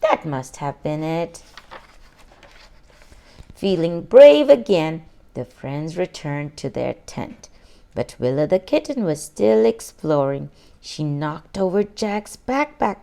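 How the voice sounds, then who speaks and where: A woman reading a children's storybook aloud expressively, pausing to put questions to young listeners. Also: on the low side, big pitch swings, gravelly, dark tone. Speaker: children's book reader.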